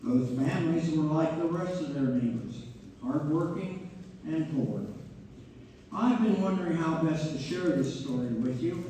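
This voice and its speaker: A man's voice: on the high side, unhurried, warm tone, close to an everyday voice. A man playing a chatty, opinionated narrator who addresses the audience conversationally and rhetorically in a stage read-through. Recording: distant, echoey hall, faint noise.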